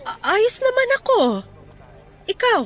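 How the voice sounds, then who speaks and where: A woman's voice: extremely high pitch, fairly even intonation, measured pace, a bit bright. A woman playing a flustered, guarded middle-aged woman in a Filipino radio romance drama, questioning and protesting in bewilderment. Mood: guarded surprise.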